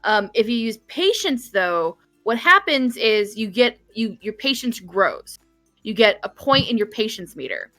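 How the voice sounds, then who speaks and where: A woman's voice: on the high side, sing-song, a little slow, fairly bright tone, no echo, soft background music. A woman talking casually and ramblingly as a game developer explaining things on a stream. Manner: rambling, frequent "like", casual swearing.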